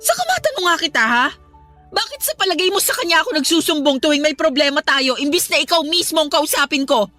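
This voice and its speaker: Embattled young mother, high-pitched, forceful, hurt and argumentative, radio drama. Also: sing-song, quick pace, no echo, soft background music, exaggerated voice. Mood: indignant. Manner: pleading, indignant, argumentative. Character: hurt, defiant young mother quarrelling with her partner. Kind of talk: emotional radio drama acting.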